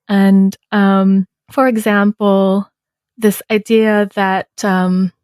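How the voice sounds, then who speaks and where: A woman in her thirties hosting an interview podcast, speaking in a measured, reflective way. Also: medium pitch, little pitch movement, darkish tone, no room sound, studio quality. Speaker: podcast host. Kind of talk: conversational interview.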